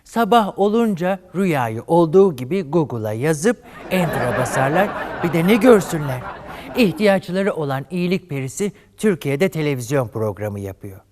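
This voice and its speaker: A man's voice: on the high side, sing-song, moderate pace, crowd noise, background noise. A man hosting a comedy show, telling a fairy tale in a flamboyant, coy campy way. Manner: flamboyant, coy, addresses audience as "lambs". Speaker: host and storyteller. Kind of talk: campy comic storytelling. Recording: studio audience and music behind.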